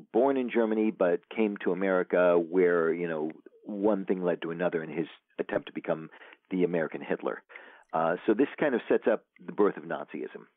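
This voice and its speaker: A man being interviewed as an author, talking in a halting way with many fillers and restarts. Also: deep voice, no echo. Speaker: author guest. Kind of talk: remote interview answers. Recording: tinny phone line.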